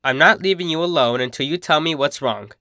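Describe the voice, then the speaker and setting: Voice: mid-range voice, brisk, bright, forceful tone, no echo, studio quality. A narrator voicing a brash, protective big-sister pegasus mare from a cartoon, with a cocky, slangy, insistent delivery. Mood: pushy, caring.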